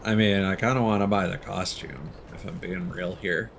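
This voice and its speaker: A man's voice: low voice, fairly even intonation, moderate pace, fairly bright tone. A man giving casual live game commentary, muttering joking asides to himself. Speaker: game streamer. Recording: clear background game noise. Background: video game sound.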